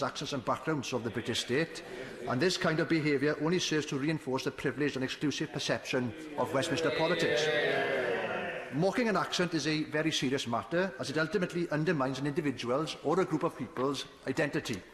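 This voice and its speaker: Welsh man, member of parliament, quick formal speech, echoey chamber, crowd murmuring behind. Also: fairly high pitch. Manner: earnest, measured, slightly hesitant at the start. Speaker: member of parliament raising a point of order. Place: large debating chamber.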